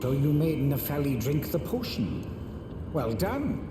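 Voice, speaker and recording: An actor playing a haughty, condescending, scheming sorcerer and puppeteer in a fantasy video game, with pompous, sneering, theatrical phrasing. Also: male voice, a little high, sing-song, measured pace. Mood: smugly surprised. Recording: echoey hall reverb under loud music.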